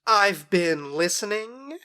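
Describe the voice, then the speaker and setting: A woman's voice: medium pitch, lively intonation, slow pace, bright, forceful tone. A woman playing a mischievous, sly fairy trickster in a modern audio drama, teasing and theatrical, savouring words with smug unrepentance. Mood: coaxing, sly.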